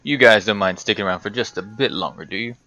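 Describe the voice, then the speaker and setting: A man's voice: lowish voice, fast, sharp tone, no echo, highs cut off. A man giving casual, rambling commentary over a video game playthrough, chatting to himself and to the game's characters. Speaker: gaming video host.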